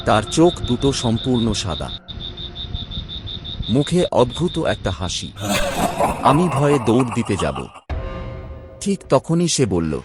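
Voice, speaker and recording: Man, horror storyteller, lively pitch, hushed dramatic delivery, music underneath. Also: slow, long pauses. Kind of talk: first-person horror narration. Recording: spooky music bed under narration.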